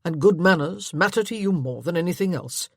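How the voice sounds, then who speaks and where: A woman's voice: deep voice, expressive, moderate pace, darkish tone, no room sound, clean studio sound. A woman voicing a curt, stubborn, sarcastic husband in a period romance audiobook, with clipped formal phrasing. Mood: petty, sarcastic.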